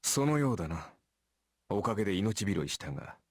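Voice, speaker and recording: A calm, sardonic anime warrior, speaks in a husky voice. He moves quickly through his lines with a very lively melody, recorded close and dry. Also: fairly low pitch, no echo, full character voice. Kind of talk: Japanese anime visual novel voice acting.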